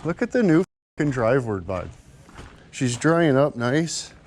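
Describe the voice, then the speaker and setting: A man's voice: mid-range voice, big pitch swings, measured pace, a little mellow. Canadian man bantering over vehicle noise. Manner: joking, profane salesman banter. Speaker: vlog host. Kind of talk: casual banter, walkie-talkie chatter.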